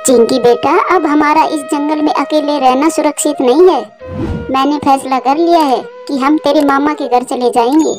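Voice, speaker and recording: A woman's voice: sits high, fairly even intonation, normal speed, music in the background, natural voice. A woman voicing an anxious, devoted young widowed mother bird in a cartoon, with an earnest, pleading way of talking. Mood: worried, pleading. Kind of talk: Hindi moral-story cartoon dialogue.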